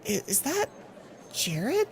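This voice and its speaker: A player voicing a high, hesitant schoolgirl heroine. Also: wildly swooping pitch, takes it slowly, gravelly, slight background noise, full character voice. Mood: puzzled, nervous. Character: shy, puzzled, easily flustered teenage schoolgirl heroine. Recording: music under the voice.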